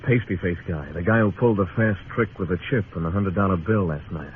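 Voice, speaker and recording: An actor playing a tough, laconic frontier marshal in a radio western, speaking and narrating in a terse, deadpan way. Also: male voice, sits low, fast talker, a little hiss or hum, close to an everyday voice. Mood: dry, wry. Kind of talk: old-time radio western acting and narration. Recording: tinny old radio recording with music.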